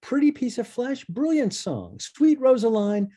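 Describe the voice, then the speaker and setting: An actor plays an exacting studio boss in a stage play read-through. He is blunt but encouraging, a man whose pitch rises and falls dramatically as he speaks. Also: lively intonation, unhurried, dark tone, exaggerated voice. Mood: frank appraisal. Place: online video call.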